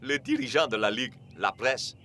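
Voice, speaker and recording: An actor playing a firm, supportive football teammate, earnest and insistent, in French cartoon dubbing. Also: male voice, mid-range voice, normal speed, pushed and bright, a little hiss or hum, close to an everyday voice. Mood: reassuring.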